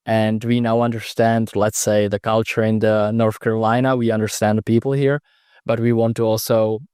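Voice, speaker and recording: A man's voice: medium pitch, darkish tone, clear, resonant tone, dry recording, studio quality. A young man from the Czech Republic with a Czech accent, answering interview questions hesitantly with many restarts. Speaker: guest.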